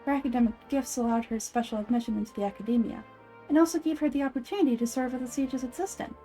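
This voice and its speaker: A woman voicing a gentle, child-like goddess of wisdom in an anime game fan dub, measured, thoughtful and earnest. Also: fairly high pitch, soft background music, natural voice. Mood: solemn, gentle.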